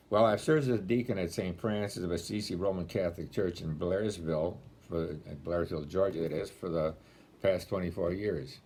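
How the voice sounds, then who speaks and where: A man in his eighties with a deep voice, speaking as a deacon in a homily-style talk, telling stories with gentle dry humor, filmed on a laptop webcam at home. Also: slight background noise. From Rochester, New York area. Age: 80s. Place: home room, indoors. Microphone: laptop webcam at close range.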